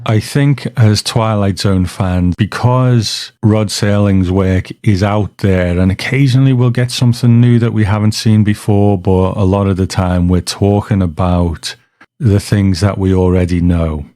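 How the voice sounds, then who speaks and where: A man's voice: on the low side, steady tone, unhurried, mellow, dry recording, studio quality. A man hosting a podcast, casually commenting and introducing listener reports. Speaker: podcast host.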